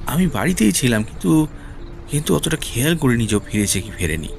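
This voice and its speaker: A man's voice: mid-range voice, very animated, brisk, creaky, faint music behind. An actor playing a worried, middle-aged male householder in an audio drama, agitated and repeating words urgently. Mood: anxious explaining. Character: worried middle-aged householder, shocked and frantic about his servant. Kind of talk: Bengali audio drama acting.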